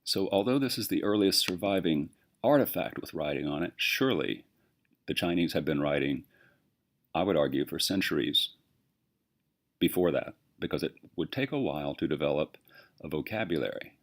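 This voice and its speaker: A male teacher with a very low voice lectures a class. He talks emphatically and keeps posing rhetorical questions to his students.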